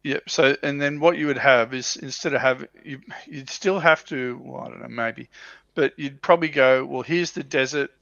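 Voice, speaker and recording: A man's voice: medium pitch, normal speed, creaky, a bit bright, no echo, muffled recording. A man co-hosting a livestream, chatting casually about tabletop miniature wargaming. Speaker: livestream co-host.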